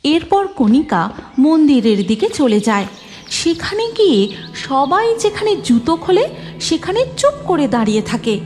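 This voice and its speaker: A woman's voice: sits high, sing-song, measured pace, a little mellow. A woman narrating a cartoon story from a script.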